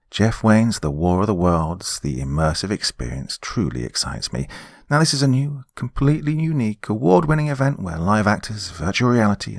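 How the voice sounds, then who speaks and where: Deep-voiced British male horror narrator. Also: no room sound, studio quality. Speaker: horror podcast host and narrator. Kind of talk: dramatic scripted narration and sponsor reads.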